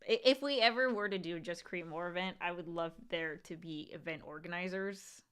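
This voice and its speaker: A woman co-hosting a casual livestream podcast, talking in an animated way with lots of fillers and a strong, carrying voice. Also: fairly low pitch, normal speed, clean tone. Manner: animated, thinks aloud with many "like" fillers. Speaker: co-host.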